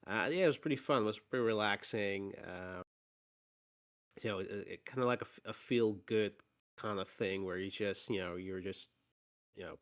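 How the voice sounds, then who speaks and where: A man co-hosting a gaming podcast, hoarse from a cold, talking casually with many fillers and restarts. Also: lowish voice, normal speed, a bit bright. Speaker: podcast co-host. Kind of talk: casual chat.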